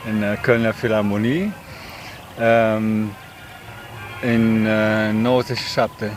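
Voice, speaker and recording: A Romanian man in his fifties with a Romanian accent, answering interview questions haltingly and searching for words. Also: lowish voice, fairly even intonation, soft background music. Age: 50s. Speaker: tango teacher, interview guest. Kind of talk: interview answers, switching between two languages.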